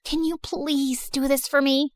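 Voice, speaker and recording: A woman's voice: high voice, little pitch movement, a little slow, no echo, studio quality. A woman voicing a scheming, vengeful popular teenage girl in a cartoon story. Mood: conspiratorial.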